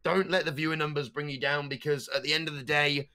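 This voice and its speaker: Guy w a stutter, talks kinda high n bright, animated podcast chat. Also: fast. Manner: animated, bursts into loud meme voices. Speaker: streamer guest.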